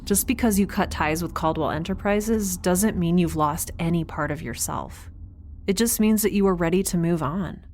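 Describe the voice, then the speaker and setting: An actress playing a frank, principled space crewmate in an audio drama, talking in an earnest, firm, naturalistic way. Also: female voice, on the low side, moderate pace, darkish tone, slight background noise, natural voice. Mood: earnest, encouraging.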